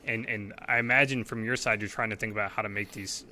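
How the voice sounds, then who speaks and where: Bright-voiced young man asking rambling questions. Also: fairly low pitch, fairly even intonation, fast talker. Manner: rambling questions with many restarts. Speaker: podcast co-host. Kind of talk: casual video call interview. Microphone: headset mic on video call.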